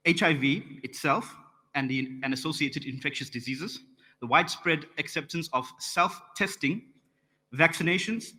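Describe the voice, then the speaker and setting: A man's voice: fairly high pitch, bright, forceful tone. A young South African man with a South African accent giving a friendly, lightly joking conference report and calling for slides. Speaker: conference track rapporteur. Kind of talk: conference presentation. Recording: reverberant conference hall.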